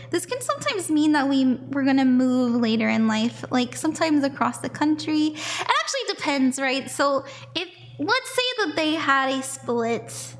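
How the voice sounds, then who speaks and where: A young woman from the southern United States, chatting with viewers while giving live palm readings, bubbly and quick to laugh. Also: high voice.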